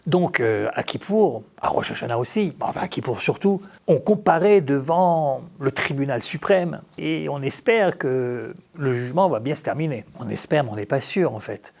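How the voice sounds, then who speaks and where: Male rabbi, mid-pitched creaky voice; conversational, humorous teacher who poses questions and tells it like a story. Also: animated, normal speed, few pauses, a little mellow. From France. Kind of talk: informal religious talk with rhetorical questions and acted-out dialogue.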